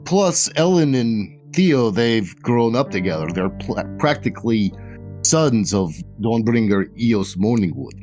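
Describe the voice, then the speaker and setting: A man voicing a grave, measured benefactor tied to a thieves guild, speaking slowly and carefully. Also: lowish voice, big pitch swings, darkish tone, faint music behind. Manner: slow, careful, deliberate warnings.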